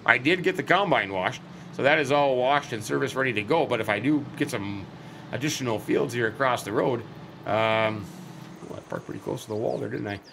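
A middle-aged man with a slightly hoarse, scratchy voice, rambling folksy commentary as he plays a farming game. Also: medium pitch, brisk, fairly bright tone. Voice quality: scratchy, cracky throat. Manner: rambling, folksy, chatty asides. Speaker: let's play host.

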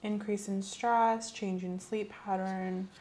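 A young adult woman speaking softly and reassuringly as she questions a patient in an ASMR medical roleplay. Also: mid-range voice, level tone, slow. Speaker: host playing a nurse in a roleplay. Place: small indoor room set up as an exam room.

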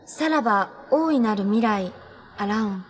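An actress playing a solemn woman reciting ancient verse in a measured way in a TV drama. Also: on the high side, animated. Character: solemn woman reading out ancient prophecy translations.

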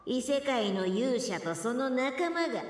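A woman's voice: high-pitched, lively intonation, a little slow. A woman voicing a solemn otherworldly oracle in a video game, declaiming prophecies in an archaic manner. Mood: solemn, prophetic. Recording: distant voice in a long echoing hall.

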